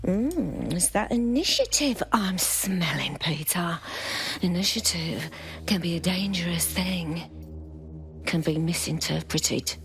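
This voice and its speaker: A woman's voice: on the low side, expressive, slow pace, vocal fry, a bit bright, full character voice. A woman playing a cruel female crime boss in a video game, condescending and mock-sweet in her threats. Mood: veiled threat.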